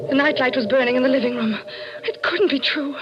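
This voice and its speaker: A woman's voice: high voice, brisk, lightly acted. An actress in a 1940s radio drama playing a proud, frightened married woman with a refined, dramatic delivery. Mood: haunted, suspenseful. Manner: dramatic, refined, haughty when rattled. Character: glamorous married woman, proud, frightened, telling her eerie tale. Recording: muffled vintage radio recording, music behind.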